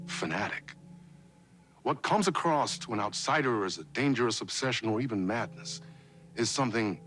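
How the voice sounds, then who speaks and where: An actor playing an ominous, theatrical host of a mystery anthology show, narrating in a portentous, measured manner. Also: male voice, mid-range voice, a bit bright, natural voice. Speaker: narrator introducing an episode.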